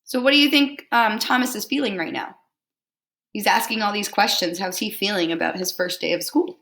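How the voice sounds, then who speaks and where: A woman teacher reading a picture book aloud to children, expressively and deliberately, pausing to put simple questions to them. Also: medium pitch, fairly bright tone. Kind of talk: storybook read-aloud with questions to young listeners.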